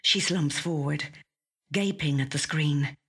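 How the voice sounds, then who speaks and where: A woman actor reading a literary short story in a measured, dramatic and expressive way. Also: low voice, slightly rough. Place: recording studio.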